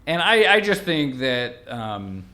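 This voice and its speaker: A man's voice: medium pitch, very animated, takes it slowly, sharp tone. A man in his thirties, a podcast guest, explaining his ideas in long, reasoned stretches. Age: 30s. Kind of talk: thoughtful interview conversation.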